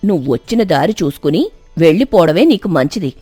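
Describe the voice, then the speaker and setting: Woman putting on a low-voiced, warning old village woman. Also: sing-song, warm tone, slightly rough, no room sound, faint music behind. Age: older. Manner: low, slow, cautioning.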